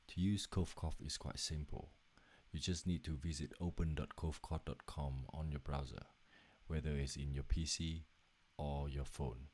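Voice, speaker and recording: A man's voice: a bass voice, darkish tone. A man reading a scripted narration for a slide presentation as its presenter.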